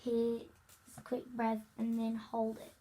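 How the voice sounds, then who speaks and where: A young child reading a children's book aloud, haltingly, stumbling over words. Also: mid-range voice, completely flat delivery, mellow. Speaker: reader.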